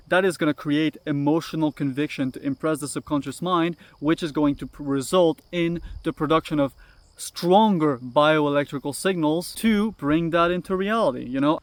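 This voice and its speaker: A young man giving an earnest self-help lecture to camera, often ending points with "right?". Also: fairly high pitch. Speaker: self-improvement YouTuber. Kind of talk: talking-head self-help monologue.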